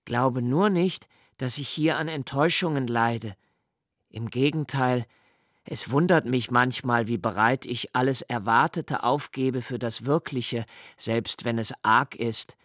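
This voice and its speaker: A man playing a brooding, introspective young poet-diarist haunted by dread, speaking a contemplative, halting literary monologue for a radio drama. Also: medium pitch, no room sound, clean studio sound. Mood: pensive, searching.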